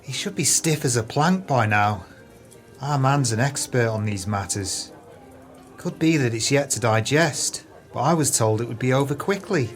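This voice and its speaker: A sly, mid-pitched crook played by a male actor wheedles and flatters over faint music. He is a callous, wordy accomplice in an audio play. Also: moderate pace, lightly acted. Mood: gleefully plotting.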